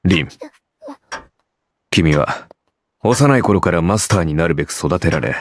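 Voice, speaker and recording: A man playing a cool, sardonic servant spirit warrior in an anime game, speaking in a measured, taunting, formal way. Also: sits low, lively intonation, no echo. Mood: probing. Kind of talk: Japanese anime game voice acting.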